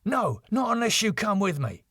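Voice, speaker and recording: Guy doing a frantic sitcom schemer bloke, pleading and exasperated, voice pushed up high, dry sound. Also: very animated, normal speed, fairly bright tone, slightly rough, no echo, heavily acted. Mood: urgent, pleading. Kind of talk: sitcom script read aloud.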